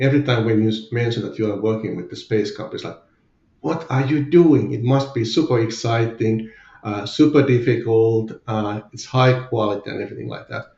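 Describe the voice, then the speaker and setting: A man's voice: mid-range voice, moderate pace, vocal fry, darkish tone, reverberant, muffled recording. A man with a Finnish accent, a guest in a candid podcast interview, speaking hesitantly with many fillers. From Finland. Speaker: guest, company CFO.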